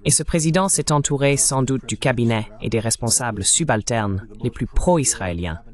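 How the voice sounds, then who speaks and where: A man's voice: mid-range voice, expressive, moderate pace. A man delivering dubbed political commentary as the translated voice of an interview guest.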